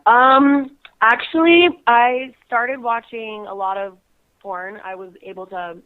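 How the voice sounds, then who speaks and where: A woman's voice: fairly high pitch, slow pace. A young woman from California's Bay Area, a guest calling in to a casual interview, who giggles often between phrases. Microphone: phone call. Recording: tinny phone line.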